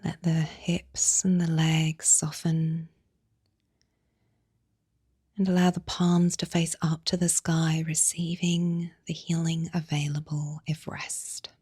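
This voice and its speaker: A woman guiding a yoga nidra meditation, speaking softly and soothingly in a breathy voice with long pauses between phrases. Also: sits low, little pitch movement, dry recording, clean studio sound. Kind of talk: guided meditation narration.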